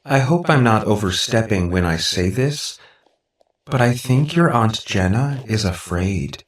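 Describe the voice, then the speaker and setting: A sweet, possessive robot girl from an audiobook, acted out by a male narrator. The voice is lifted and measured, full of syrupy affection, with a manipulative, clinging insistence in careful, precise phrases. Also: fairly low pitch, lively intonation, roomy sound, distant mic, voice pushed up. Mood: quietly manipulative. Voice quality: synthetic, affectionate tone.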